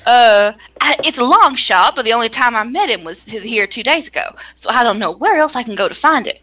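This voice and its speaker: A woman's voice: a little high, animated, a little fast, sharp tone. A woman narrating a visual novel among friends, slipping between scripted reading and giggly, self-mocking, casually sweary asides. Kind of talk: casual group read-aloud, narration mixed with joking.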